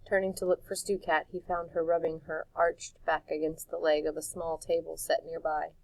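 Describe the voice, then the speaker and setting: Audiobook reader, woman, calm measured narration, lower pitch, dry close sound. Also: vocal fry, no echo, low-quality recording. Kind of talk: storybook narration.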